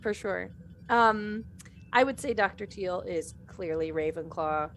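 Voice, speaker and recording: A woman's voice: mid-range voice, unhurried, slight background noise. A woman in a casual group chat, reading and answering fan questions in a playful way.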